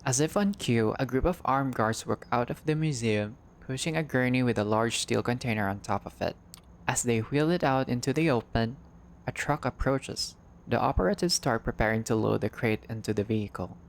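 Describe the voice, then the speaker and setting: A man's voice: medium pitch, moderate pace, a little hiss or hum. A man reading a visual novel aloud as a playthrough narrator, in a storyteller-like way with brief casual asides.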